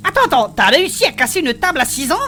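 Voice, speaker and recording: Woman acting a bright, swooping, cheeky adventurer. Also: high voice, expressive, rapid-fire. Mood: surprised, eager. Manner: colloquial, exclamatory, teasing. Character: cheeky, excitable childhood friend in an adventuring band. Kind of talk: French fantasy audio fiction acting.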